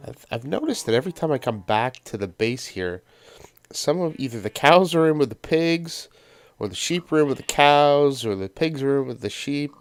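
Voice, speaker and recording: A man's voice: mid-range voice, lively intonation, normal speed, no room sound. A man hosting a gameplay series in casual, rambling commentary with a stuffy nose from a sinus cold. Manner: rambling, many ums and uhs. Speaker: let's play host.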